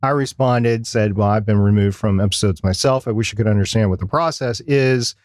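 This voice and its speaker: A man's voice: fairly low pitch, a little fast, a little mellow, no room sound, studio quality. A man co-hosting a podcast in a casual, conversational discussion style. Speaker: podcast co-host.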